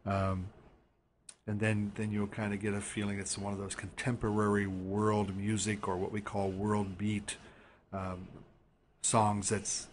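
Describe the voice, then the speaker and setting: A man with a fairly low voice talks through an online music lesson. He explains loosely, restarting sentences and filling pauses with "uh" and "um". A faint hiss runs under the recording. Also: completely flat delivery. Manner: loose, rambling explanation with many fillers and restarts. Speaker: music lecturer. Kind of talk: recorded online lecture narration.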